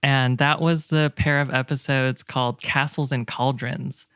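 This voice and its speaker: A man chatting casually as a podcast guest, telling stories in a halting, hesitant way. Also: medium pitch, fairly even intonation, fairly bright tone, smooth, dry recording, clean studio sound.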